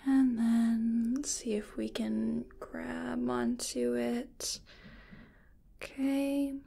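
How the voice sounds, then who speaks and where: A woman speaks in a soft, breathy ASMR voice that slips often into whispers. Her delivery is very slow and gentle, with a light crackle of fry, as she plays a reassuring healer giving close personal attention. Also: fairly high pitch, flat delivery. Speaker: ASMR performer playing an energy healing practitioner.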